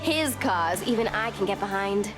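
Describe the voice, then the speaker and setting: A woman's voice: mid-range voice, lively intonation, moderate pace, close to an everyday voice. A woman voicing a mocking, chaotic goddess of strife in a video game, with a sly, taunting manner. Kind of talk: video game character dialogue. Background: game music.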